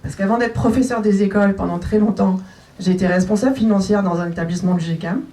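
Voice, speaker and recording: A woman's voice: mid-range voice, steady tone, quick pace, mellow. A woman in her forties introducing herself as a candidate at a public meeting, chatty and lightly joking. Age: 40s. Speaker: municipal list candidate. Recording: distant mic at a public meeting.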